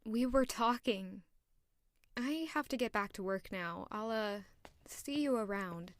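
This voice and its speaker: Polite young maid narrator, voiced by a woman, fairly high voice, soft, dry recording. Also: expressive, a little slow, no room sound. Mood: defensive. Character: earnest young female maid narrating her story. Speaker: maid and first-person narrator. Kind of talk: audio drama acting and narration.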